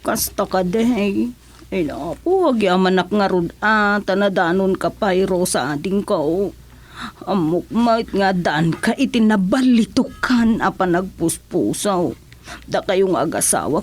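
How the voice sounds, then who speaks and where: A woman's voice: mid-range voice, darkish tone, close to an everyday voice. A woman with an Ilocano accent playing a sickly, plaintive older villager in a radio drama. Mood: weary. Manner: drawn-out, plaintive.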